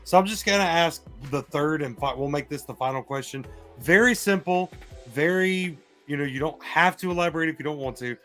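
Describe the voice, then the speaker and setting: A man's voice: on the high side, brisk, a bit bright, soft background music. A man hosting a casual panel chat on a live stream in a jokey, rambling way. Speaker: podcast host.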